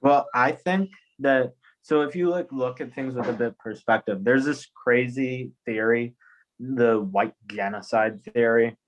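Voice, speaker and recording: Podcast host, brisk casual talker, mid pitch, dull video-call sound. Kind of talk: moderating a discussion.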